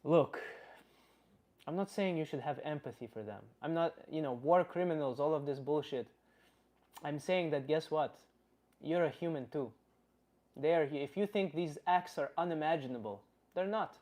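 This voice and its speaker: A man playing a blunt Slavic uncle, philosophizing in halting, casual livestream talk and answering chat comments. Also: fairly high pitch, darkish tone, lightly acted. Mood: blunt, insistent. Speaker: livestream host.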